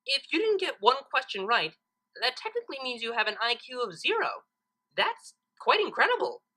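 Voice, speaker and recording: A woman's voice: high voice, big pitch swings, moderate pace, pushed and bright, pitched above the performer's own voice, full character voice, rough recording. A woman voicing a smug, bookish female pony who corrects others primly in a story reading. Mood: amazed.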